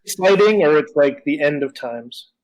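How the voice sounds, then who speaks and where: A man's voice: a little high, expressive, normal speed, poor audio. A man co-hosting a podcast in casual chat, wandering and hesitant, with a self-deprecating manner. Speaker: podcast co-host.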